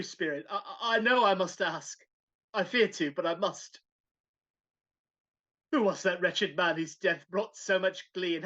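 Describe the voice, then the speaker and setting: A woman's voice: lowish voice, fairly bright tone. A woman playing an old reformed miser in an impassioned, theatrical live dramatic reading over a video call. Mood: pleading, fearful. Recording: dull video call audio.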